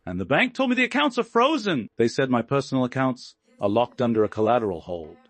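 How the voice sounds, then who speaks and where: A man voicing a shrill, entitled, highly strung socialite woman in an audiobook. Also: big pitch swings, fast talker, no room sound. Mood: panicked. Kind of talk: audiobook character acting.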